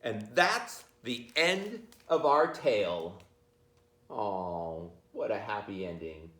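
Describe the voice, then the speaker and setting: A man reading a children's picture book aloud in an informal, jokey, expressive way, with chatty asides. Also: lowish voice, takes it slowly, echoey room.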